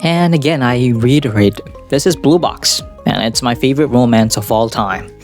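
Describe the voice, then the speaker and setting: Male video essayist, mid pitch, casual and rambling, self-deprecating, music underneath. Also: moderate pace. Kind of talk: casual, semi-scripted commentary. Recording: music behind the voice. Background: background music.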